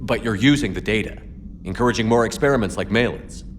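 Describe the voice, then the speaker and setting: An actor playing a human military commander in a video game, terse and probing with calm authority. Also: male voice, fairly low pitch, quick pace, a little hiss or hum, close to an everyday voice. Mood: probing, serious. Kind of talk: video game character dialogue.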